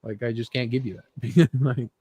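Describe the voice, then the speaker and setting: A man's voice: medium pitch, fairly even intonation, normal speed, mellow, slightly rough, no echo. A man co-hosting a casual podcast chat, conversational and a little hesitant, with some swearing. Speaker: podcast co-host. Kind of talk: casual chat about movies and games.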